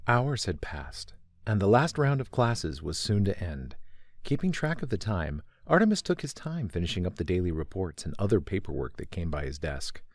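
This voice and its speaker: Low, expressive male story narrator. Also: moderate pace, dry recording, clean studio sound.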